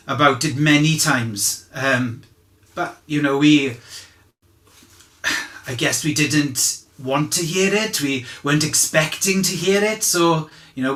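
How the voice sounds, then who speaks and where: A man playing an earnest disciple testifying as an eyewitness at a dramatized inquest, speaking conversationally and haltingly as he searches for words. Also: a little high, pushed and bright. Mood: rueful. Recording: echoey room.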